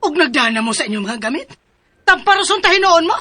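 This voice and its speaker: A woman acting as a harsh middle-aged female employer who scolds her helpers with sharp, berating insults in a radio drama. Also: extremely high pitch, big pitch swings, fast talker, no echo, exaggerated voice.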